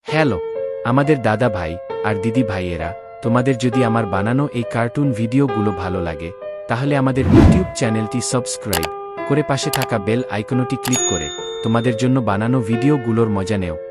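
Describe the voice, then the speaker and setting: A man's voice: mid-range voice, fairly quick. A man speaking as a channel creator, addressing viewers in a promotional outro with music behind. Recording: loud music under the voice.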